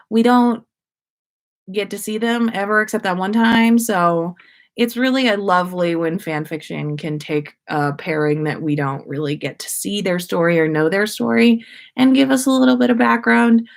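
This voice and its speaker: A woman hosting a story-time livestream, speaking in an expressive, informal way as she reads and chats. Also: medium pitch. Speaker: host of a fan fiction story-time livestream. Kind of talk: expressive story reading and casual livestream chat.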